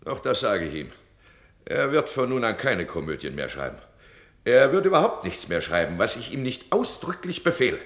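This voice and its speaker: An actor playing a domineering duke who scolds his subject in a radio play, his voice swinging between cold and loud. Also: medium pitch, big pitch swings, moderate pace. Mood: imperious. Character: domineering stern duke, absolute ruler. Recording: roomy radio drama studio.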